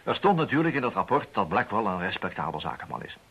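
An actor playing a brisk, shrewd, insistent detective with a husky voice in a radio play. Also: male voice, lowish voice, sing-song, fairly bright tone, dull audio, exaggerated voice. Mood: explaining.

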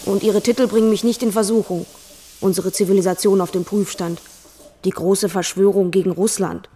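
A woman's voice: medium pitch, fairly even intonation, normal speed, dark tone, lightly acted. An actress playing a bookish, inquisitive girl, narrating and speaking in a radio drama. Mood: observant. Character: bookish, inquisitive girl recalling events as they happen.

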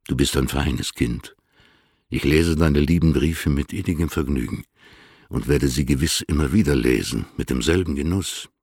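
Courtly old poet reading letters for radio drama, super low husky male voice, fond but formal. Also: moderate pace, a little mellow, dry recording, clean studio sound, heavily acted. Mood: tender, appreciative. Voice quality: very deep, gravelly, husky. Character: dignified, affectionate older male poet answering letters.

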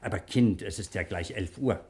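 A man's voice: low voice, moderate pace, darkish tone. An actor playing a kindly, fatherly older uncle in a German radio drama, soothing and courteous. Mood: warm, inviting. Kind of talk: 1960s German radio play acting.

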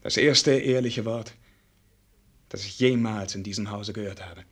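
An actor playing a melancholy young prince in a radio drama, weary of courtly formality and declaiming with theatrical bitterness. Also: male voice, on the low side, a little slow, breathy edge. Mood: bitter, anguished. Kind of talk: German radio drama acting.

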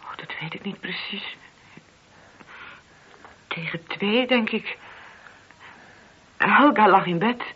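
An actress in a radio play voicing a distressed married woman who speaks haltingly as she confesses to a police inspector. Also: medium pitch, slight background noise, natural voice. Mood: subdued, hesitant. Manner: hesitant, halting, repeating words in distress. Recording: muffled, lo-fi radio drama recording.

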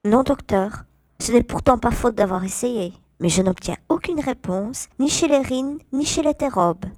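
Fairly high, dry-sounding female assistant played by a woman. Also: animated, normal speed, darkish tone, no echo. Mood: apologetic. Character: efficient female doctor's assistant. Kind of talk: audio drama dialogue.

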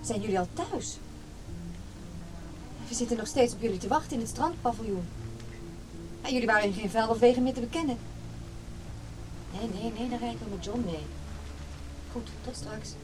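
A woman's voice: fairly high pitch, moderate pace, long pauses, music in the background, close to an everyday voice. An actress in a Dutch radio drama playing a married woman talking on the telephone. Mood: questioning. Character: married woman taking a phone call. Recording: noisy radio play recording.

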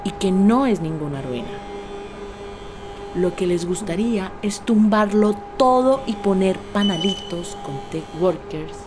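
Brief: woman, mid-pitched voice, documentary testimony, recorded with clear ambient noise. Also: lively intonation, measured pace. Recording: noticeable urban background noise.

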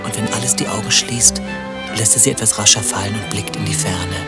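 A low-pitched, wry and melancholic musician acted by a man in a satirical radio play. He phrases things theatrically, with lyrical irony, over prominent music. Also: fairly even intonation, fairly quick, natural voice. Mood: mock admiration.

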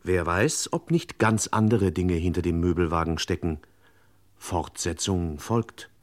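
A man's voice: deep voice, unhurried, very noisy. A man narrating a scripted comic crime radio play in an ironic, mock-pompous, ornate way.

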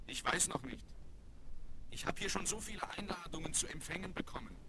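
An actor playing a famous young American aviator, modest and boyish, in a German children's radio play. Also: male voice, sits high, animated, brisk, a crowd in the background. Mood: excited, affectionate. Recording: noisy, crackly vinyl record transfer.

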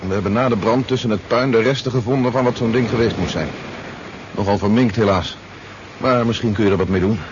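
A male actor as a brisk general from a seventies radio play, firing off orders in a lowish voice with dry wit. The old recording is dull, with faint noise behind it. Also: close to an everyday voice. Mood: grave. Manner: authoritative, clipped orders. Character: brisk commanding army general, wry with colleagues. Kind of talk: 1970s Dutch radio drama acting. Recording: muffled, noisy 1970s radio drama tape.

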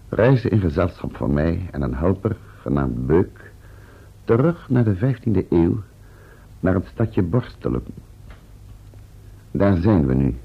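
Low, gravelly male scientist's assistant. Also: heavily acted. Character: male time-travelling scientist's assistant, rueful and wary. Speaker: narrator and professor's first assistant. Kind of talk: Dutch radio serial acting. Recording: slightly dull old radio recording.

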